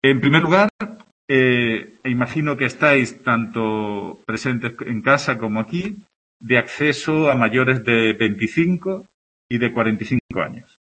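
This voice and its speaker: A man's voice: medium pitch, measured pace, a bit bright, poor audio. A middle-aged man with an Andalusian Spanish accent, giving a friendly introductory lecture in a classroom, often checking in with his students. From Andalusia, Spain. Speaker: university access course tutor.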